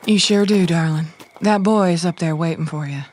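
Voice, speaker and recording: Woman acting a coaxing, low-voiced motherly schemer. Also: moderate pace, a little mellow, close to an everyday voice. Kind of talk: audio drama acting.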